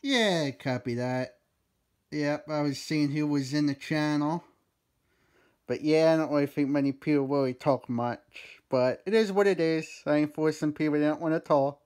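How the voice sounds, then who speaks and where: A man in a bedroom recording a casual chat over a walkie-talkie app, relaxed and easygoing with chuckling asides. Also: fairly high pitch, moderate pace, clean tone. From Philadelphia area. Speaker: video maker.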